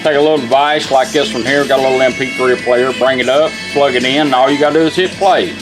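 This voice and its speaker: A man's voice: mid-range voice, fairly even intonation, quick pace, over music. A plain-spoken man giving a casual hands-on product demonstration in a folksy way.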